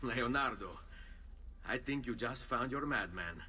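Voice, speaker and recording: An actor playing a cocky, determined young assassin with an Italian accent in a video game. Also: male voice, medium pitch, lively intonation, unhurried, bright, forceful tone, recorded from a distance, a little hiss or hum. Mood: eager, confident. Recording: reverberant game audio, music underneath. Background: game music.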